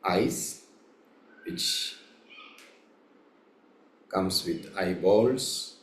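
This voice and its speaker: A man guiding a meditation as a teacher, speaking in measured, halting short phrases with long pauses between them. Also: sits low, expressive. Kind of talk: slow guided meditation instruction. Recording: roomy, echoing room sound.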